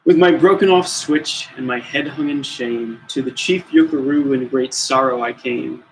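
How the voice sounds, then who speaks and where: A man reading a children's story aloud with theatrical, deliberate emphasis. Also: medium pitch, a little hiss or hum, poor audio. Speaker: storybook reader.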